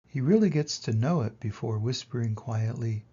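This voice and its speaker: An older man reading a children's picture book aloud in an expressive way, with dramatic pauses and emphasis. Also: medium pitch, breathy edge, dull audio. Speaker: reader of children's picture books. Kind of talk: animated storybook read-aloud.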